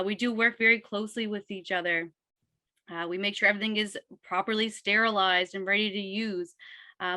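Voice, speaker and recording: A young woman, a dental assisting instructor, presenting on a webinar with a voice hoarse from a cold, upbeat and explaining things step by step. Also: medium pitch, pushed and bright, no room sound. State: hoarse from a cold, losing her voice.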